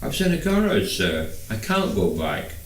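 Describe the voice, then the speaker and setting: A man's voice: fairly low pitch, wildly swooping pitch, normal speed. Shy, eager teenage lad, read by a man, echoey. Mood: earnest, pleading. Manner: polite, hesitant, reluctant. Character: shy, eager working-class teenage boy seeking a job. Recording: echoey room, distant microphone.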